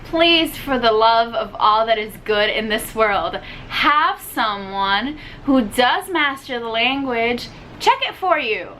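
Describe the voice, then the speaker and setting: Bright-voiced young woman ranting about books. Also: fairly high pitch, slow pace, slight background noise, poor audio. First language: Spanish. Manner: animated ranting, lots of fillers. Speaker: book vlogger. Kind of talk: casual book review chat.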